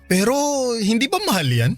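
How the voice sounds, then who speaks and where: Earnest young Filipino suitor. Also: male voice, high-pitched, wildly swooping pitch, fairly quick, faint music behind. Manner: earnest, sweet-talking. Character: earnest, thrifty young male suitor, sweet-talking boyfriend. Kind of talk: Tagalog radio romance drama dialogue.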